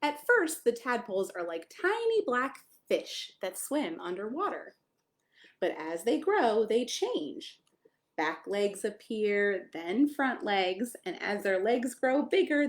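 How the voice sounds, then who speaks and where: An American woman reading a picture book to young children, adding chatty asides and simple questions for her listeners. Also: a little high. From United States (Maryland). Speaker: pastor reading a children's story. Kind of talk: children's storytime read-aloud with chatty asides.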